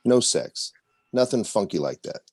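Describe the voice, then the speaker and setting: A craggy, stately crime boss in his fifties, played by a man at a table read. His voice is very low and dry, with a terse, restrained, matter-of-fact delivery. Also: sing-song, unhurried, darkish tone, no room sound. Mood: coolly persuasive.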